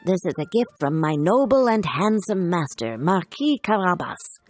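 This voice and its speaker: A woman plays a clever male cat servant from a children's storybook. He is courteous and persuasive, with a very animated delivery and a husky edge. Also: on the low side, moderate pace, no room sound, soft background music.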